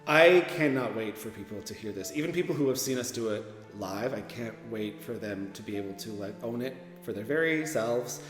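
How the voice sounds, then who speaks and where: A man's voice: medium pitch, lively intonation, brisk, hardly any pauses. Guy radio actor in a doc interview, reverby, music going behind. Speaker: radio drama performer. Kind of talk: documentary interview.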